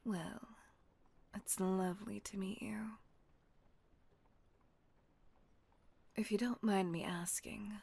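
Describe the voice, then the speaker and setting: A woman playing a kind, refined vampire mistress in an intimate roleplay audio drama, speaking in a gentle, soothing, husky near-whisper. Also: mid-range voice, steady tone. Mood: warm, sympathetic. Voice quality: breathy, husky near-whisper with creaky edge. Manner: gentle, genteel, unhurried, soothing.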